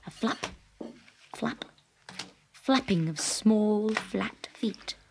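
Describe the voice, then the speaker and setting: A woman narrating a scripted children's story in an expressive, dramatic manner with breathy emphasis. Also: medium pitch, extremely slow, a little hiss or hum.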